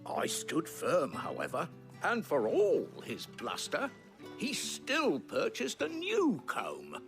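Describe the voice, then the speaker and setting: Wordy, fawning trader for a game cutscene, highish slow voice, music behind. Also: male voice, wildly swooping pitch, creaky, heavily acted. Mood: defensive, proud. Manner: haughty then obsequious, wordy, hesitant. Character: flustered, fawning male market trader eager to please. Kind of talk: video game cutscene acting.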